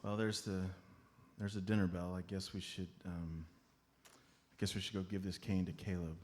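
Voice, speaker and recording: A man playing an earnest, hesitant amateur detective with a mock-serious, deliberate delivery in a live comedic radio play. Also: low-pitched, little pitch movement, darkish tone, natural voice. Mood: resigned. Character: Terry, earnest, hesitant, self-important amateur detective sidekick. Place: comedy club stage before a live audience.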